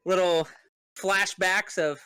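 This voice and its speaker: A man's voice: high-pitched, fairly even intonation, takes it slowly, a crowd in the background. A man hosting a podcast in a casual, conversational style. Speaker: podcast host. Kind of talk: casual chat.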